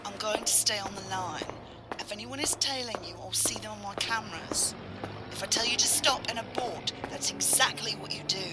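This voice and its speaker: An actress as a brisk, protective female operative in a sci-fi audio drama. She keeps a middle-pitched voice and directs her people firmly, with music clearly playing underneath. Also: lightly acted. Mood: tense, hushed. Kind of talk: science fiction audio drama acting.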